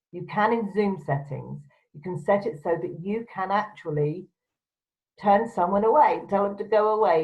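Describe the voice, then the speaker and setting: A woman presenter giving instructional advice to camera in a firm, direct, emphatic way. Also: lowish voice. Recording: dull, lo-fi sound.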